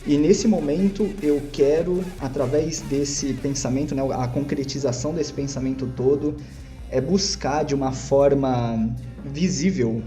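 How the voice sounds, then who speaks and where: A man with a mellow voice narrates his actions in a tabletop roleplaying game. He is wordy and articulate, often refining his phrasing, with quiet music behind him. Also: medium pitch. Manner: wordy, self-correcting, articulate. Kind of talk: improvised first-person game narration.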